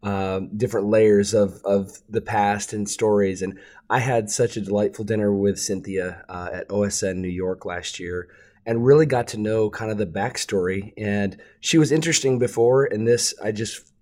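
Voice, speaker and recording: A man's voice: on the low side, moderate pace. A man hosting a podcast, reading a scripted introduction and then chatting with a guest, with some hesitant fillers. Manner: warm friendly host, hesitant with fillers when unscripted. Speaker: podcast host.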